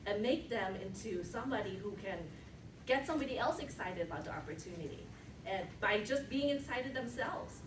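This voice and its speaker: A woman gives a lively motivational talk to her team, often tossing in a quick "right?" to her listeners. She sounds distant from the mic in an echoey room. Steady background noise runs underneath. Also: mid-range voice. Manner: animated, often asks "right?". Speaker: team leader. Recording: echoey room from far off, noisy.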